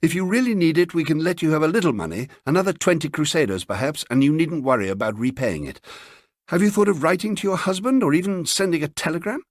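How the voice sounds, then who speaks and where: Man as a brisk, polite high-voiced English wife. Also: animated, dry recording, studio quality. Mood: uneasy, dismissive. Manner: courteous, fussy, self-correcting.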